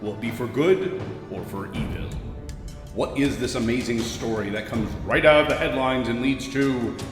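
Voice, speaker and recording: A man's voice: mid-range voice, normal speed, over music. A man narrating a pulp serial in a dramatic, portentous, theatrical style. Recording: echoey reverb.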